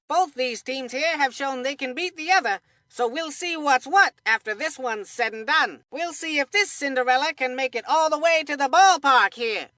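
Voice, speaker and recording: Cartoon baseball commentator, high voice, sharp bright tone, folksy, excitable, colloquial, video game sidekick. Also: female voice, dry recording, studio quality.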